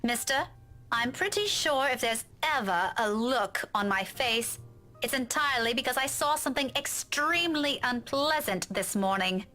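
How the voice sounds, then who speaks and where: A high-voiced, irritated young schoolteacher. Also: female voice, animated, normal speed, bright, forceful tone. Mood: curt, sarcastic. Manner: clipped politeness, sarcastic. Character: irritated, sharp-tongued young woman schoolteacher. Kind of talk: visual novel voice acting. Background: game music.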